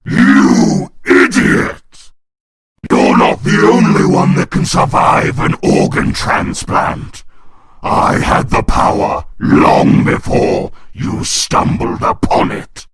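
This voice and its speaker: A man voicing a cruel, mocking male demon clown in a fan comic dub, with a raspy snarl and cackling taunts. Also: very deep voice, huge pitch swings, slow pace, full character voice. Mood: gloating. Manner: taunting, cackling, shouting insults.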